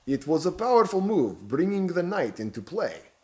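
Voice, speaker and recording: A man's voice: fairly high pitch, sing-song, moderate pace, voice pushed up. A man voicing a thin, pale, sinister stranger with a Romanian accent, speaking in a cold, hard, hissing way. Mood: sly.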